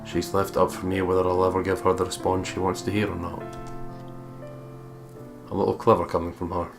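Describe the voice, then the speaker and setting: Deep, creaky-voiced man reading game narration. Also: completely flat delivery, fairly quick. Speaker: let's play co-host. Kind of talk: reading game narration aloud, casual commentary. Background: game music.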